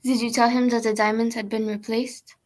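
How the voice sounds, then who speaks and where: A woman plays an official conducting an inquiry in a radio drama. Her voice is slightly high and very even in pitch. She questions formally, with a controlled, probing manner. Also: a little fast, close to an everyday voice. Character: formal, probing French female prefect questioning a witness.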